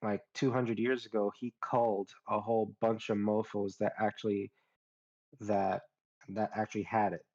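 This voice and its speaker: A man casually recapping a story in slangy, unhurried phrases, recorded with slightly muffled sound. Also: fairly low pitch, level tone, a little mellow. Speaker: panelist on a nerd culture show. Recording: dull, muffled lo-fi audio.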